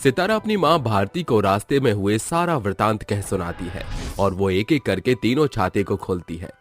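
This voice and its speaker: A man narrates a scripted moral tale as the storyteller. His voice sits fairly low. Music plays clearly behind him throughout. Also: lively intonation, moderate pace.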